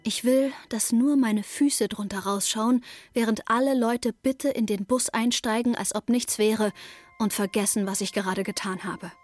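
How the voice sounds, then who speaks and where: A woman's voice: a little high, steady tone, unhurried, a little mellow, dry recording. An actress playing a restless, introspective woman in her twenties, narrating a radio drama as an intimate inner monologue. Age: 20s.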